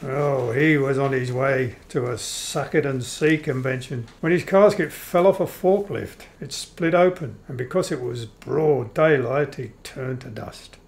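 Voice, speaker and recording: Vampire count, played by a man: mid-pitched, gravelly, polite and measured, radio comedy-drama style. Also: lightly acted. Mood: matter-of-fact. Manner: measured, courteous, explanatory. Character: courteous, matter-of-fact vampire count and husband. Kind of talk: old-time radio play acting.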